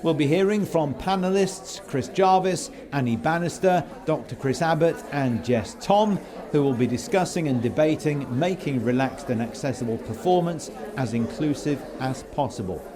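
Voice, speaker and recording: Male podcast presenter, slightly high-pitched, scripted delivery with people chattering behind. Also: lively intonation, normal speed, crowd noise. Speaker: podcast host. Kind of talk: scripted podcast narration and interviewing.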